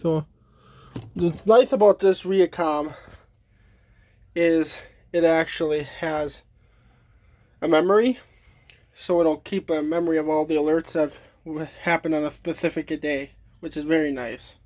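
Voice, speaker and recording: A man giving a casual, unscripted demonstration as a hobbyist, halting and trailing off mid-thought. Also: fairly high pitch, steady tone, darkish tone. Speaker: hobbyist demonstrating a weather radio collection.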